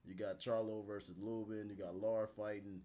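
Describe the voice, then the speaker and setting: Fast-talking low-voiced young man. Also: level tone, mellow. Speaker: podcast co-host. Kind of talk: casual podcast chat.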